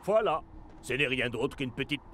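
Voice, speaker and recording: Un comédien qui joue un personnage de dessin animé moqueur, manigançant et théâtral. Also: male voice, medium pitch, expressive, normal speed, heavy background noise, close to an everyday voice. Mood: contemptuous. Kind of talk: animated cartoon dub. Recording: faint music behind the voice.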